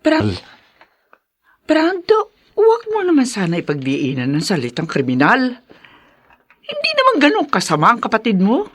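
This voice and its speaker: A woman's voice: on the high side, wildly swooping pitch, normal speed, long pauses. Actress as pleading mother, wildly swinging intonation. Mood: weary, hurt. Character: sorrowful, pleading mother of grown sons. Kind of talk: melodramatic audio drama acting.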